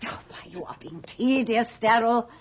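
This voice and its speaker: A woman's voice: on the high side, moderate pace, slight background noise, natural voice. A woman playing a haughty, fearless old aristocratic lady with theatrical deliberation in a radio drama. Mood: arch, amused. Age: older. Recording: muffled old radio recording, music behind.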